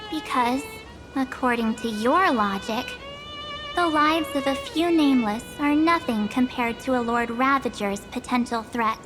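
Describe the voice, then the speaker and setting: A woman's voice: high voice, unhurried, music in the background, low-quality recording. A woman voicing an earnest, defiant young traveler in a video game, speaking assertively in casual phrasing. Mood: firm, defiant.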